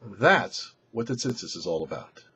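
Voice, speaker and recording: A man giving a short prepared religious teaching as a rabbi, in measured, emphatic phrases with pauses. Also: lowish voice, sing-song, sharp tone, breathy edge. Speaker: rabbi, show presenter.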